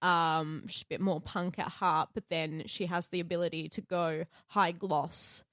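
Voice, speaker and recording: A woman's voice: on the low side, level tone, a little slow, a bit bright, no room sound, clean studio sound. An Australian woman talking casually as a podcast co-host about playing a tabletop game. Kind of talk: casual chat.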